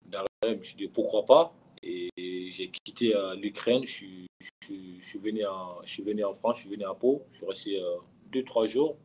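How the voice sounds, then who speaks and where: A man in his thirties with a fairly low, slightly rough-edged voice tells his story as an interview guest. His delivery is casual and conversational. He often doubles back on words and phrases to press a point. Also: moderate pace, a little mellow, rough recording. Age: 30s. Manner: repeats words and phrases for emphasis. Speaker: professional footballer, interview guest.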